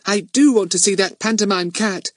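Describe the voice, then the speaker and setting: A man voicing an eager, impulsive little girl in a storybook, excitable and gushing. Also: sits high, moderate pace, dry recording, clean studio sound, voice pushed up, close to an everyday voice.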